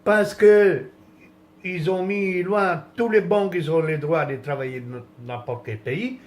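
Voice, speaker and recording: Indignant rambling man, slightly high voice. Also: measured pace. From France. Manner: indignant, rambling, many restarts and trailing phrases. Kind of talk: rambling political rant.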